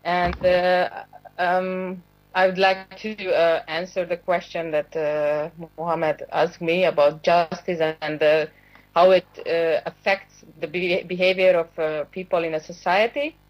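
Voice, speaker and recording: Low-voiced woman on a muffled video call. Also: little pitch movement, unhurried. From Hungary. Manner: hesitant, many fillers. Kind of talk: personal account on a talk show.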